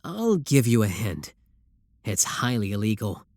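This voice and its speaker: Man as calm, obsessive yandere brother, close and dry. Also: fairly low pitch, measured pace, no room sound. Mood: teasing, possessive. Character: obsessive, adoring yandere sibling kidnapper, calmly supportive. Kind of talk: scripted ASMR roleplay addressed to the listener.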